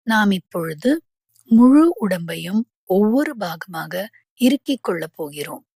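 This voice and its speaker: Woman, meditation guide, very slow pace, breathy-husky tone, measured instructions, calm delivery. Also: fairly low pitch, dry recording. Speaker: yoga nidra meditation guide.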